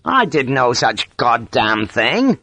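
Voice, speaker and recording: A man voicing a gruff, scarred soldier in an audiobook story, curt, blunt and scornful, with a growl. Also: mid-range voice, big pitch swings, moderate pace, fairly bright tone, no echo. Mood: demanding, blunt. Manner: curt, blunt, impatient, swearing.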